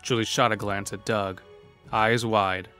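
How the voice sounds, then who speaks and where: A man narrating a dark horror audio drama as its storyteller. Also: fairly low pitch, steady tone, a little slow, faint music behind. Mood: grave storytelling.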